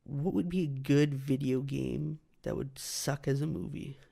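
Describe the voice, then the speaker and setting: A man's voice: mid-range voice, fairly even intonation, a little slow, gravelly, warm tone. A man in casual chat as a show co-host, speaking in a blunt, casually profane way.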